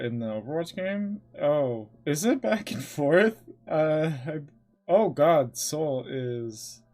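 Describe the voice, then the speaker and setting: A man's voice: a little high, darkish tone. A man live-streaming games, chatting casually and reacting in offhand fragments, muttering to himself. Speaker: game streamer. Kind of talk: casual livestream commentary and chat.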